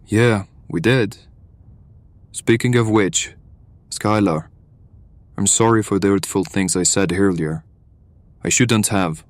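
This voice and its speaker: An actor playing a guarded but caring hireling in an audio drama, plain-spoken and earnest. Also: male voice, lowish voice, takes it slowly, pauses often, no room sound.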